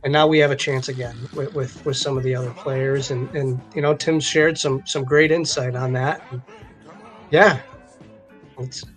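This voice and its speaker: A man's voice: medium pitch, fairly even intonation, moderate pace, fairly bright tone, faint music behind. A man co-hosting a sports show with casual chat, often repeating words as he goes. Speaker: co-host of a sports card live stream.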